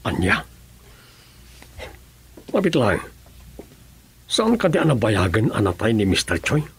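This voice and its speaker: Husky, lowish Ilocano-accented governor, serious and questioning, radio serial. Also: male voice, big pitch swings, slow pace, exaggerated voice. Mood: grave, questioning. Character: grave male governor questioning his staff. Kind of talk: Ilocano radio drama acting.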